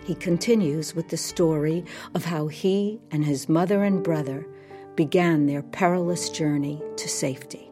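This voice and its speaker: A woman hosting a podcast, reading a scripted narration in a measured way. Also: sits low, music in the background. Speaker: podcast host.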